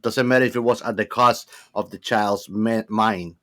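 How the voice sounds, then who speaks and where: A man's voice: on the low side, fairly even intonation, moderate pace, fairly bright tone. A man on a podcast panel explaining his view of a film in an emphatic, casual way.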